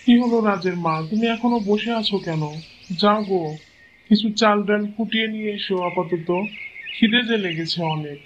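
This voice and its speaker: Cartoon actor as gentle, resigned village elder; high male voice, reassuring and plain-spoken, muffled noisy track. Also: normal speed. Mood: hungry, urging. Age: older. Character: patient, kindly old village father-in-law, gently resigned. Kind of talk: cartoon fairy-tale voice acting. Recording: muffled lo-fi audio, clear background noise.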